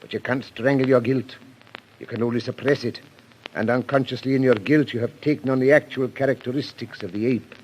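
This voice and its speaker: A firm male psychiatrist, acted by a man in a vintage radio thriller. He speaks in a measured, clinical, authoritative way at an average pitch. The old recording is slightly noisy. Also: steady tone, a little mellow, natural voice. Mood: stern, accusing. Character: firm, accusing male psychiatrist confronting his patient.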